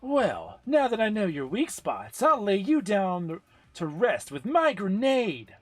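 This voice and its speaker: Man putting on a loud, high-pitched, hot-headed fighter girl. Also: wildly swooping pitch, slow pace, no room sound, full character voice. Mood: cocky, taunting. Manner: shouted, taunting, overdramatic delivery. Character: brash, hot-tempered young woman fighter, cocky and aggressive.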